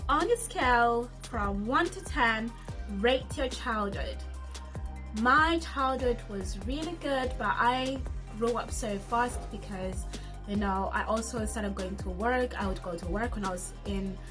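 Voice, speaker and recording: A woman's voice: a little high, measured pace, fairly bright tone, faint music behind. A woman, chatting casually in a get-to-know-me vlog as she reads each question aloud and answers it. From Zimbabwe. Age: 30s. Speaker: vlogger.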